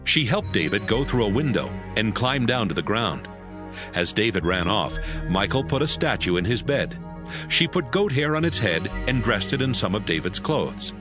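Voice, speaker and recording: A man's voice: lowish voice, sing-song, moderate pace, music in the background. A man narrating a dramatized scripture audiobook.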